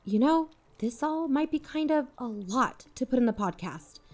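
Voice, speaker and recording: A woman's voice: high-pitched, lively intonation, moderate pace, darkish tone. A woman playing an earnest podcast investigator in an audio drama, questioning and keeping to the point. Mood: impatient.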